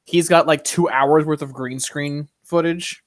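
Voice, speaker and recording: A young man chatting casually, voicing firm opinions in quick bursts with frequent restarts. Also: on the high side, steady tone, no room sound, clean studio sound. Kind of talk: casual chat.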